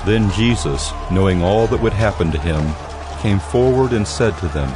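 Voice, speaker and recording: A man's voice: lowish voice, moderate pace, over music. A man narrating scripture from a script in a dramatized production.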